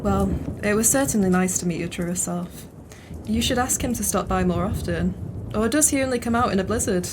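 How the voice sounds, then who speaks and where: A wry, sharp-witted female pub regular played by a woman in an audio play. Her medium-pitched voice is teasing, with faint ambience behind it. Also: fairly quick, noisy background, natural voice.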